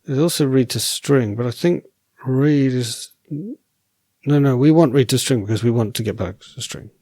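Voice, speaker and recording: A man thinking aloud while writing code as a programming tutor, relaxed and wry, correcting himself as he goes, and losing his voice. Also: medium pitch, a little slow, dry recording.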